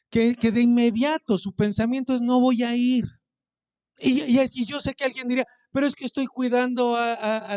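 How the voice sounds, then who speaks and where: A man's voice: very high voice, a little mellow, smooth. A man preaching a sermon as pastor, speaking conversationally, asking his congregation questions and acting out what people say to him.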